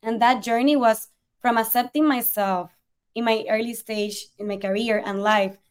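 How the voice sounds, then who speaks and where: A woman's voice: a little high, darkish tone. A young woman from Puerto Rico, a native Spanish speaker with a Puerto Rican accent, earnestly telling her story as an interview guest. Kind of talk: conversational storytelling.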